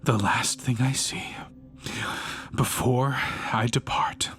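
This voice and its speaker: A man playing a fanatical, grandiose killer who narrates his crimes in theatrical, measured verse, as in a dramatic poetry audiobook. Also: mid-range voice, fairly even intonation, lightly acted. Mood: fading, breathy. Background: music behind the voice.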